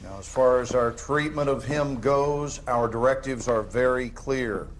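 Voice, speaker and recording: A composed male interrogator played by a male actor in a military game cutscene. He speaks in a controlled, dryly sardonic way at a middle pitch, with faint noise behind him. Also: unhurried, lightly acted. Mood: firm. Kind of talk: acted game cutscene dialogue.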